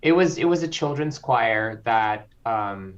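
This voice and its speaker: A man from the Philippines, a composer guest speaking slowly and reflectively, with frequent ums. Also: medium pitch, animated. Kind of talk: interview conversation. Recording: slightly dull lo-fi audio.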